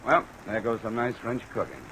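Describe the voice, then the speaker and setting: An actor with an American accent playing a tough, wry investigator in a radio detective drama, clipped and matter-of-fact. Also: male voice, mid-range voice, normal speed, creaky, sharp tone, husky, soft background music, full character voice. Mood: wry, narrating. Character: tough, wry freelance insurance investigator narrating his case. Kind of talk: 1950s radio detective narration and dialogue.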